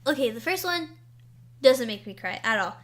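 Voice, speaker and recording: Excitable gushing young woman podcaster. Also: fairly high pitch. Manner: excitable and gushing, quick to say she will cry. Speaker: podcast co-host. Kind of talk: casual chat.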